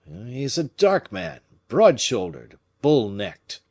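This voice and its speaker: A man's voice: mid-range voice, wildly swooping pitch, takes it slowly, darkish tone, pitched above the performer's own voice, full character voice. A man reading an audiobook, voicing a timid, deferential river boat skipper.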